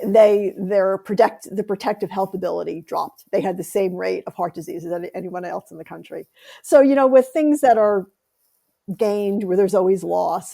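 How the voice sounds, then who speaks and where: A woman's voice: mid-range voice, vocal fry, mellow. An American woman author being interviewed on a podcast, speaking thoughtfully with frequent ums and restarts. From United States. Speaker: author and guest.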